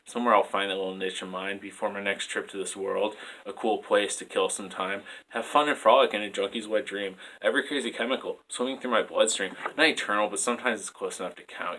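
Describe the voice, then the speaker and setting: A man's voice: fairly low pitch, sharp tone, low-quality recording. A man in his twenties reading his poetry aloud as a spoken-word performance. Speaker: poet. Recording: clear background noise.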